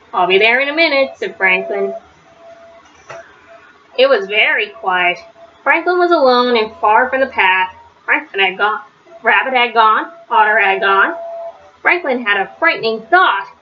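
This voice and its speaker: A woman's voice: a little high, sharp tone. A woman reading a children's story aloud as a storyteller. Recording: slightly dull, faintly noisy recording.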